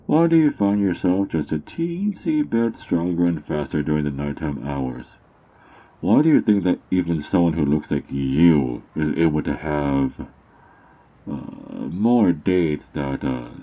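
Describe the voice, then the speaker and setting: A man playing a sly, mocking underground drug dealer in an ASMR roleplay, teasing and smug, with theatrical drawn-out words. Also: rumbling low, animated, mellow, full character voice. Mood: menacing, gloating. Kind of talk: ASMR character roleplay monologue.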